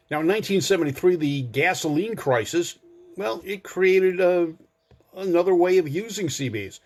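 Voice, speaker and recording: Older man, folksy show host. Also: on the high side. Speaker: host of a car enthusiast show. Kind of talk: casual informative monologue to a live audience online.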